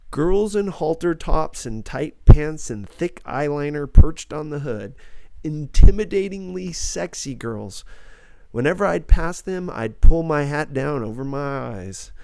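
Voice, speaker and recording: A man's voice: fairly high pitch, dark tone, no echo. A man reading a first-person novel aloud as a scripted audiobook narration.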